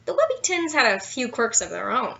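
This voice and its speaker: A woman reading a children's picture book aloud in an expressive, playful storytelling style with dramatic emphasis. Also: fairly high pitch, brisk, creaky, highs cut off. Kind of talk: animated bedtime story read-aloud with asides.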